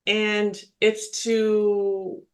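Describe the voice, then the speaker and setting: A woman's voice: mid-range voice, monotone, extremely slow. A woman co-hosting a spiritual podcast, chatting casually, laughing often and restarting her sentences. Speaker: podcast co-host, spiritual medium.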